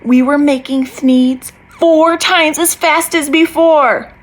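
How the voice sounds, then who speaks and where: A woman's voice: sits high, fairly even intonation, slow, poor audio. A woman reading a rhyming children's storybook aloud expressively. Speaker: school staff story reader.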